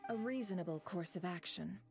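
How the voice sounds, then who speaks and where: A woman's voice: on the low side, a little fast, gravelly, a little mellow, faint music behind. A woman playing a composed, shrewd female strategist in fantasy video game dialogue. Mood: measured.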